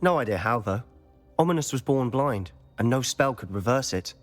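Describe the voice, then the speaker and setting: A man's voice: mid-range voice, animated, moderate pace, faint music behind, close to an everyday voice. A young man playing a confident, secretive student in a game cutscene, eager and conspiratorial. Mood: confiding.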